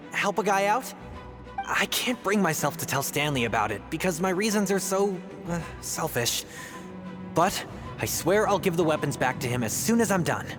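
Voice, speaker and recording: Man as young rookie adventurer; earnest, animated, a little pleading. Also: fairly high pitch, lightly acted. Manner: earnest, pleading, a bit sheepish. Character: Jack, young male rookie adventurer, eager. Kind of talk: studio video game voice acting. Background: game music.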